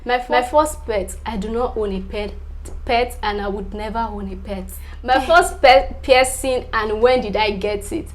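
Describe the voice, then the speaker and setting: A woman's voice: fairly high pitch, fairly even intonation, normal speed. A young Nigerian woman whose first language is Yoruba, chatting casually to camera as a vlogger, full of fillers, repeating "definitely" and laughing between answers.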